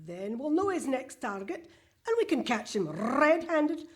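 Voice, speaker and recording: A woman's voice: high-pitched, very animated, measured pace. A woman playing a bossy, exasperated Scottish police superintendent in a comic radio play before a live audience.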